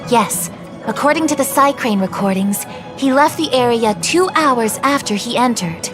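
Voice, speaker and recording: A woman's voice: fairly high pitch, animated, normal speed, over music, lightly acted. A woman voicing a courteous, businesslike official in a video game, polite and matter-of-fact.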